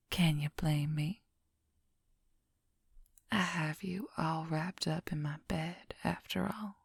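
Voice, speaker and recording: An affectionate female werewolf, acted out by a woman in an ASMR roleplay, whispering intimately and very close. The voice is slow and breathy, with gentle, playful teasing. The sound is dry and near. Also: on the low side, no room sound, full character voice. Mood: sappy, affectionate. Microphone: close microphone, dry.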